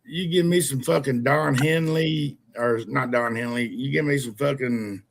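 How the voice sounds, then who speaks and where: A man in his late forties with a gravelly, fry-laden voice at a middling pitch. He chats casually with friends, swearing freely and getting keen when he talks. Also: a little slow. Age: 40s. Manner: gravelly, swearing, enthusiastic about country music. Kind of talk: casual chat.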